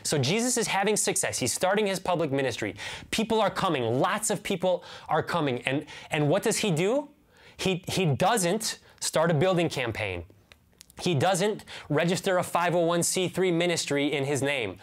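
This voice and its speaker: A man's voice: fairly high pitch, lively intonation, normal speed. An American man in his thirties giving a sermon as a pastor, earnest and emphatic, repeating key phrases and asking rhetorical questions. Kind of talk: sermon, teaching to camera. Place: indoors, small room with bookshelves.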